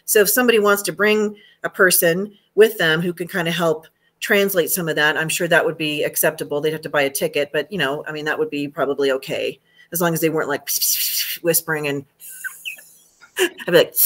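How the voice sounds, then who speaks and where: A woman's voice: low-pitched, steady tone, fast talker, fairly bright tone. A middle-aged American woman hosting a video call, chatting casually in a rambling way. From United States. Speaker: host, home staging trainer. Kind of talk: casual chat. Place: indoor room at home.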